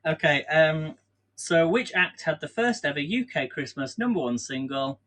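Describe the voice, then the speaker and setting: A rambling, good-humoured English man hosts a live stream from his kitchen, speaking in a slightly higher than usual voice for a man. He chats loosely between tasks, laughs at himself often and keeps things informal. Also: animated, moderate pace, a bit bright. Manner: chatty, rambling, self-deprecating, laughs often. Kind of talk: casual live-stream chat while baking. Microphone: camera on a stand.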